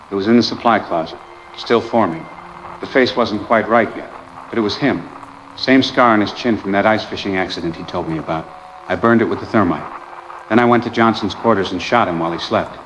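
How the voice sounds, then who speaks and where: An actor playing an exhausted, haunted research doctor, grimly confessing in clipped sentences into a personal log for an audio drama. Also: male voice, lowish voice, a bit bright, lightly acted. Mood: grim, numb. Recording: dull, clearly noisy log recording.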